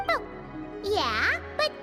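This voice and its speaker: A woman voicing a tiny, childlike fairy companion in a video game, excitable, curious and skeptical, exclaiming eagerly. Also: very high voice, extremely slow, over music, full character voice. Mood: doubtful. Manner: exclaims eagerly, speaks of herself in third person.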